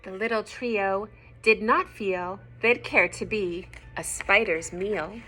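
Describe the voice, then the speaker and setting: A woman reading a children's storybook aloud expressively, pausing to explain simply and ask young listeners questions. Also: mid-range voice, bright, forceful tone.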